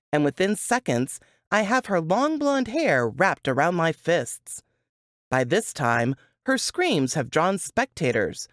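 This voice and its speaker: A woman with a low voice narrates fiction in a very expressive, moving pitch. She reads at an unhurried, slow pace, and the recording is dry and close. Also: dry recording. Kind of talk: scripted audiobook narration.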